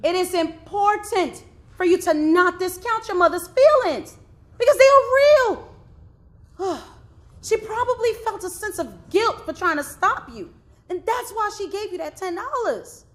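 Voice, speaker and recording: An actress playing a caring aunt who gently comforts her nephew in a stage play. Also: very high-pitched, lively intonation, measured pace. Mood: comforting. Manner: gentle, comforting, calls him honey. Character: caring aunt, soothing and devout.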